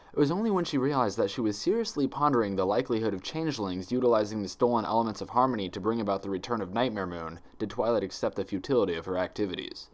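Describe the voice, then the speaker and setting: Male narrator for dramatic fiction reading: lower-pitched, very expressive intonation, deliberate delivery.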